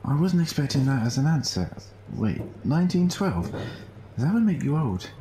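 A man's voice: on the high side, sing-song, normal speed, mellow. A man acting an awkward, flirty space station crewman in an amateur audio drama, hesitant and dry. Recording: roomy echo, faint noise.

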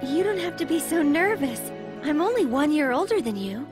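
A woman's voice: sits high, big pitch swings, moderate pace. A woman playing a bossy, playful, self-assured schoolgirl in an anime audio drama. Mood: teasing, breezy. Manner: playful, theatrical, teasing. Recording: music behind the voice.

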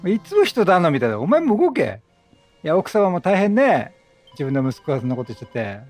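A man's voice: sits high, wildly swooping pitch, a little fast, darkish tone, faint music behind. A man doing casual, chatty game commentary, teasing and talking back to the characters. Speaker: video game streamer.

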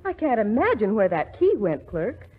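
A woman playing a brisk hotel guest in 1940s radio comedy. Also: mid-range voice, very animated, lightly acted. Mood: puzzled, brisk. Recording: tinny, narrow 1940s radio recording.

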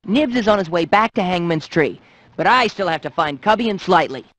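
Boyish adventure kid for a cartoon game, breezy and raspy, really animated. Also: female voice, lowish voice, brisk, dry recording. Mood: brisk, upbeat. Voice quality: husky. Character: cocky, adventurous boy hero, cartoon. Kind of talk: cartoon video game acting.

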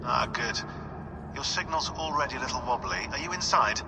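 An actor playing a talkative, fussy tech helper guiding a field agent by radio in a game cutscene. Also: male voice, medium pitch, lively intonation, brisk, very noisy, close to an everyday voice. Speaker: remote support over radio. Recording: radio link under very loud game music.

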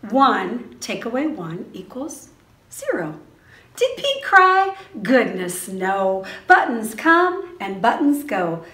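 A middle-aged woman reads a picture book to small children like a preschool teacher. Her voice is fairly high and swings widely in pitch, with expressive, sing-song phrasing. She takes her time, and the room around her adds a noticeable echo. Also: takes it slowly. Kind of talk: animated picture-book read-aloud for preschoolers. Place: small indoor preschool classroom. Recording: roomy indoor echo.

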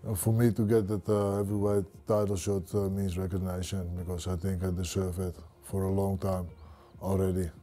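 A man in his thirties with a Dutch accent, a kickboxer speaking confidently in a pre-fight studio interview, halting with many fillers. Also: deep voice, level tone, warm tone, faint music behind. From Netherlands. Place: studio with branded backdrop. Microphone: lapel.

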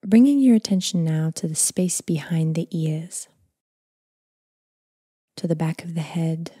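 A woman leading a guided body scan meditation in a soft, breathy, near-whispered voice, gentle, unhurried and soothing. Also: low voice, no echo, studio quality. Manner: gentle, unhurried, calming delivery with long phrases trailing off.

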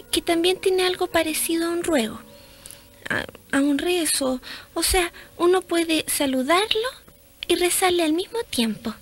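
A woman playing a gentle, devout little girl in a radio drama, speaking in a hesitant, childlike way. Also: very high voice. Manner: hesitant, childlike, repeats word starts.

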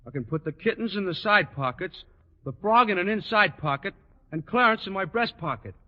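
A man's voice: high voice, expressive, a little fast. An actor with an American accent playing an eager, naive, good-natured teenage boy with folksy earnestness in a 1940s radio sitcom. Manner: gosh-gee, folksy, ungrammatical earnestness. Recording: muffled, noisy old radio recording with clicks.

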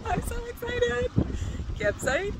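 A woman in her forties talking into a phone held at arm's length outdoors by the ocean, bubbly and excited as she laughs often. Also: very high-pitched, slow. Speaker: travel vlogger. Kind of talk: casual selfie vlog. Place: outdoors by the ocean, windy beach. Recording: loud wind and surf noise on a phone mic.

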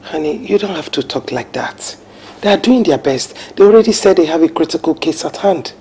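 A Nigerian woman acting a calm, reasoning wife in a domestic film drama, measured and soothing. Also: deep voice, a little hiss or hum. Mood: reassuring.

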